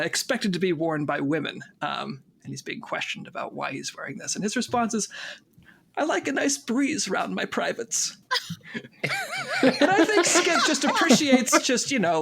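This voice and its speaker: A man's voice: high voice, vocal fry, a bit bright. A man chatting casually and jokingly as a voice actor on a cast panel. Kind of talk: casual chat.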